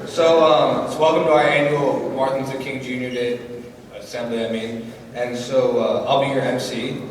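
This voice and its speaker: A man's voice: medium pitch, fairly even intonation, moderate pace, noisy background. A teenage boy emceeing a school assembly, talking casually with many fillers into a microphone. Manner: casual, loose, filler-heavy. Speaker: student emcee. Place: large school hall. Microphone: handheld mic heard from far off. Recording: echoey hall from far off.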